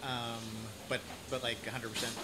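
A man in his forties from Idaho with an American accent, chatting casually as an interviewer in a halting, rambling way. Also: mid-range voice, little pitch movement. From Idaho, United States. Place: café or restaurant at night. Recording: clearly noisy room ambience.

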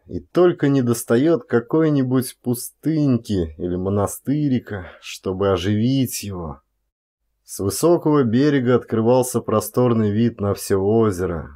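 A man narrating a literary short story as a dramatized audiobook, in a measured, expressive way. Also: fairly low pitch.

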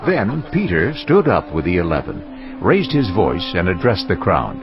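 A man's voice: low voice, huge pitch swings, unhurried. A man reading scripted narration for a dramatized scripture audiobook. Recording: dull sound with music underneath.

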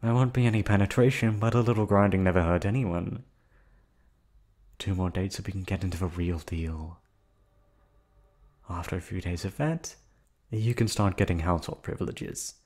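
A man voicing a calm, unhinged yandere receptionist who has kidnapped the listener, in an ASMR audio drama. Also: sits low, normal speed, long pauses. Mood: menacing. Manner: soft, coolly reasoning, menacing. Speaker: voice actor.

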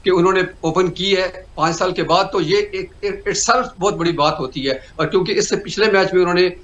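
A man giving cricket analysis over a video call, speaking in a measured way with hesitant fillers. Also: high-pitched, fairly bright tone, muffled recording. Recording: roomy video call audio with faint hum.

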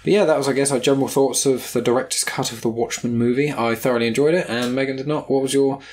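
A man's voice: medium pitch, fairly even intonation, quick pace, a little mellow. A man hosting a podcast, chatting casually about films in a joking, teasing way. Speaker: podcast host. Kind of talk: casual film review chat.

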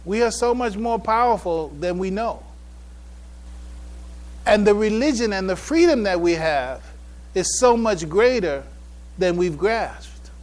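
A man giving a religious public address, halting and repeating phrases for emphasis. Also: high-pitched, animated. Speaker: speaker addressing a mosque congregation.

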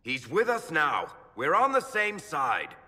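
A man's voice: fairly high pitch, wildly swooping pitch, slow, bright, forceful tone, exaggerated voice. A man playing a defiant, loyal rogue in a video game, blunt and sarcastic. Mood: bitter, sardonic. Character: defiant, sardonic roguish man, loyal to his friend. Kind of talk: dramatic video game acting. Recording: long hall reverb.

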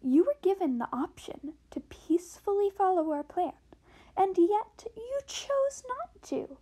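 Villain queen dragon for amateur animation, played by a woman: high voice, imperious, menacing, slow theatrical delivery. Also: lively intonation, dark tone. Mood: loud menace. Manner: theatrical, drawn-out, deliberate delivery.

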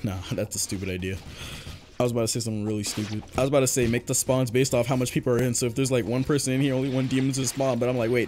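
Young male streamer, mid-pitched, loose and jokey, voice worn from long talking, faint game music behind. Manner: loose, joking, chatty with viewers, laughs often. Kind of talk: casual live-stream chat while gaming.

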